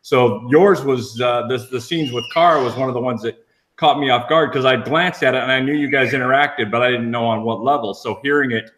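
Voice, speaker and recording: Man, medium pitch, casual discussion, cast member. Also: fairly quick, fairly bright tone. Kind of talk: casual panel chat.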